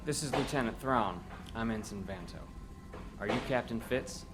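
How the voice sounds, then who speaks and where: Man voicing young male space ensign, earnest, polite, medium pitch, music clearly behind. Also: moderate pace, natural voice, rough recording. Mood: earnest, alert. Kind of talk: audio comic dub acting.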